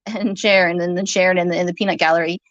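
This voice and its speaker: A woman's voice: on the low side, fairly even intonation, fast, no room sound. A woman in a heated, informal argument, talking in an accusatory, rambling way and sometimes swearing. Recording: muffled lo-fi audio.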